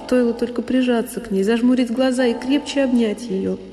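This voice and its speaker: An actress playing a sorrowful young woman who recalls a lonely childhood, in an intimate, deliberate radio monologue. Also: fairly high pitch, dark tone, close to an everyday voice. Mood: wistful, pained. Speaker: solo actress. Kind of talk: dramatic literary monologue for radio. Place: studio radio production. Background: music.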